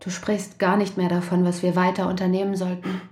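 Actress as mellow-voiced, reproachful wife. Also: female voice, fairly low pitch, monotone, brisk, a little hiss or hum, lightly acted. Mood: hurt, reproachful. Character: worried, reproachful wife of a prisoner. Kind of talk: naturalistic radio drama acting.

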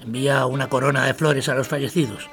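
Casting: actor as formal, resolute Spanish leader, creaky but forceful voice, audio drama. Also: male voice, mid-range voice, fairly even intonation, fast talker, faint music behind, close to an everyday voice. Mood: resolute, stern. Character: resolute, formal man leading a workers' association.

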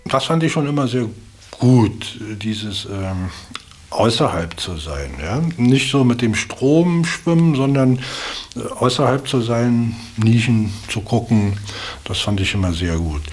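A middle-aged man reflecting in unscripted talk as an interviewee, halting and repeating words as he searches for phrasing. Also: medium pitch, animated.

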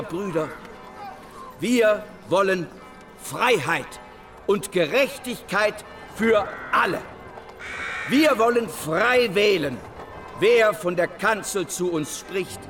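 An actor playing a fiery, zealous sixteenth-century revolutionary preacher in a radio play, declaiming in a rousing, deliberate way. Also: male voice, high-pitched, heavily acted. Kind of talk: dramatic sermon in historical radio play. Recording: loud music under the voice.

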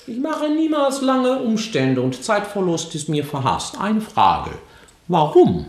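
Casting: imperious English gentleman for radio drama. Husky, clipped commands with highly animated intonation, no patience. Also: slow, exaggerated voice. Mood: dry, brusque. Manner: curt, commanding.

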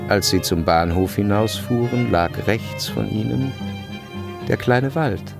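A man narrating a literary radio play in a measured, brooding, deliberate way, over music. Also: lowish voice, vocal fry. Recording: music behind the voice. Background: string music.

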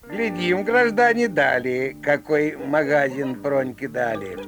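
An actor playing a sly, folksy storyteller, narrating a fairy tale in rhyming, theatrical style. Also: male voice, on the high side, animated, slow, music in the background. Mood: folksy, showy.

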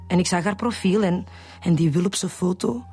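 An actress with a Flemish accent playing a lovesick, betrayed woman confessing haltingly to the police in a radio drama. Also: fairly low pitch, mellow, breathy edge, faint music behind. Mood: hesitant, confessing. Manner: halting, repeats words.

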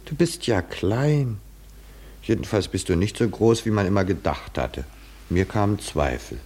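A man's voice: lowish voice, expressive, measured pace, a little mellow. An actor playing a condescending, eccentric male admirer of a hero, familiar and theatrical, in 1950s German radio drama style. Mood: rapt, patronising.

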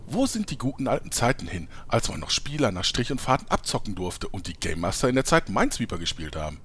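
A man's voice: mid-range voice, big pitch swings, fast talker, a bit bright, a little hiss or hum. A man playing an oblivious, self-important eccentric gamer in a comedy audio play, with a pompous, theatrical delivery. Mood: sympathetic grumbling.

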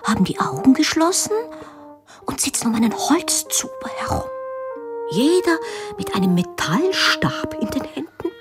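A woman's voice: sits high, big pitch swings, moderate pace. An actress playing a genteel, impressionable middle-aged mother in a German radio drama, speaking with hesitant, breathless emphasis. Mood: hushed, impressed. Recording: music behind the voice. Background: instrumental music.

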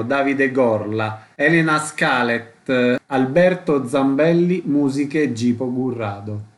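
A man reading scripted theatre credits as a radio announcer, in a measured, formal delivery. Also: medium pitch.

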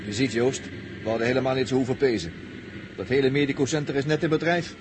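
Man as fast-talking, blunt radio-drama spaceman. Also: mid-range voice, steady tone, natural voice. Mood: grumbling, brisk. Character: brisk, blunt, skeptical male spaceman. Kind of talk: 1960s Dutch radio drama acting. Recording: old radio recording with noticeable noise.

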